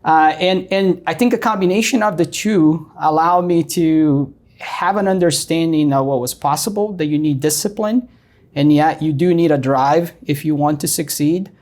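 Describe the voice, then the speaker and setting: Brief: middle-aged Brazilian man, Portuguese-accented English, earnest guest, fairly high voice, lots of ums. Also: normal speed, darkish tone. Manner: frequent ums and uhs, earnest. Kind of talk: casual interview storytelling.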